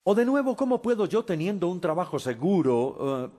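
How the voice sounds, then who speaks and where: Guy lecturing, slightly high voice that moves around a lot, pauses and says eh a bunch. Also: sing-song, warm tone. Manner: measured, with frequent pauses and filler hesitations. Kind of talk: Spanish voice-over of a recorded lecture.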